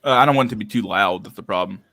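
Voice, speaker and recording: A man's voice: lowish voice, very fast, pushed and bright. A man chatting casually as the host of a live stream. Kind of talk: casual chat. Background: background music.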